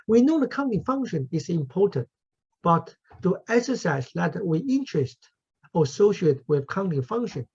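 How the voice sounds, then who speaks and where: A man's voice: on the high side, a little mellow. Taiwanese-accented male professor presenting. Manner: careful, deliberate, with non-native grammar slips. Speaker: invited conference speaker, professor. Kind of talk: formal academic presentation. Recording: muffled, husky lo-fi audio.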